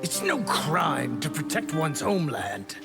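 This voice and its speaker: Game villain: loyal zealot soldier, hostile and accusing, high-pitched, heavily gravelled voice. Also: male voice, sing-song, unhurried, loud music behind the voice, exaggerated voice. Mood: hostile, defiant. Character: hostile, fanatically loyal male army officer. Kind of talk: video game fighter dialogue.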